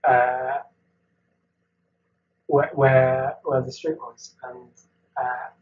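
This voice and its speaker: A man's voice: mid-range voice, level tone, extremely slow, narrow, tinny audio. A man from Wales, chatting as a guest artist over a video call, rambling and hesitant with frequent laughs. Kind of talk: casual chat.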